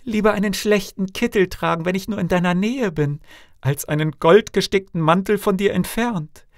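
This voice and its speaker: A man's voice: high voice, normal speed, no echo, studio quality, pitched above the performer's own voice. A man voicing a devoted young princess in a fairy tale, earnest and imploring in manner. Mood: devoted, tender.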